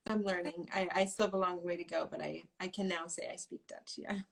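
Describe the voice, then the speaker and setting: A woman's voice: lowish voice, steady tone, brisk, vocal fry. A woman guest in a casual interview, talking in a rambling way with frequent laughs. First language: English. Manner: rambling, many fillers and restarts, laughs often.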